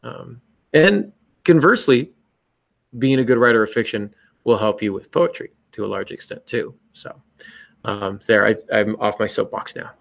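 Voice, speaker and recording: A man's voice: lowish voice, normal speed, creaky, dry recording. A man co-hosting a podcast, giving casual writing advice in a joking, self-mocking manner. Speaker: podcast co-host and novelist.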